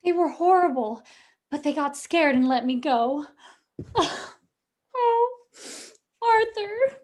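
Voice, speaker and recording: An actress playing a gushing, melodramatic young bride in a detective radio play. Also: female voice, sits high, animated, slow, a little mellow.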